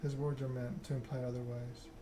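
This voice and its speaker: Male ASMR game narrator, mid-pitched, even and subdued storytelling, noticeable background noise. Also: a little fast. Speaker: gaming video creator.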